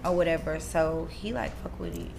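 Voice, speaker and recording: A raspy-voiced young woman chatting casually as a podcast guest, full of "like" fillers. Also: low-pitched, fairly quick, crowd noise. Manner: relaxed, lots of "like" fillers. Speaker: guest artist.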